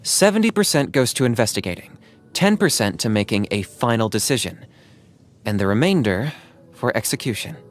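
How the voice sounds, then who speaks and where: An actor playing an aloof, pragmatic young hunter in a video game, speaking in a calm, reserved, matter-of-fact and dryly sardonic way. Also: male voice, medium pitch, moderate pace, faint music behind, natural voice. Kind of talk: video game character voice acting.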